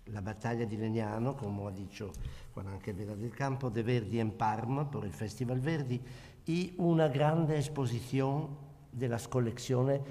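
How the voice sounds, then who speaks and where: A man's voice: medium pitch, dark tone, big-room sound. An older Italian man speaking Spanish with an Italian accent, reading a reflective lecture from notes at a panel table. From Italy. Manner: slow, measured, reflective reading. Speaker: guest speaker. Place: conference hall at a panel table. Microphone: table microphone.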